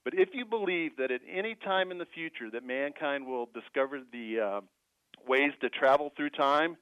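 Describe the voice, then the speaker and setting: A man calls in over a tinny phone line to review movies, full of sarcastic wisecracks. His voice sits a little high for a man and sounds dry and close. He talks casually, as if chatting with friends on the air. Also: moderate pace, dry recording.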